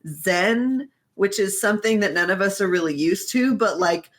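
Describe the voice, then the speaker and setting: A woman's voice: medium pitch, moderate pace. A woman chatting casually as a podcast co-host, with a joking, slangy and playfully exaggerated way of talking. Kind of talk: casual chat about anime and voice actors.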